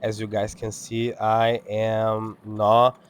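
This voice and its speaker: A man whose first language is Portuguese, speaking English with an accent as he talks over his window cleaning video, halting and full of fillers. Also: lowish voice, completely flat delivery, smooth. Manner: halting, many fillers and restarts. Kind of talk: casual talk-over.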